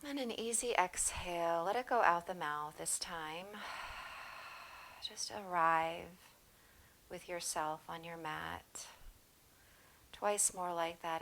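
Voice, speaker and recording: Calm woman yoga teacher, kinda low voice, takes it real slow, soothing. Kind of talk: guided yoga breathing instruction.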